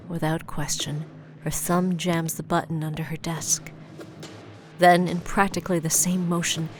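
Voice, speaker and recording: A woman delivering dramatic scripted narration for an audio drama. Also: fairly low pitch.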